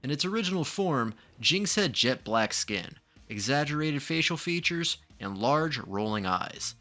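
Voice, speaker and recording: A man's voice: medium pitch, very animated, measured pace, bright, forceful tone. A man reading scripted documentary narration with dramatic, ominous emphasis.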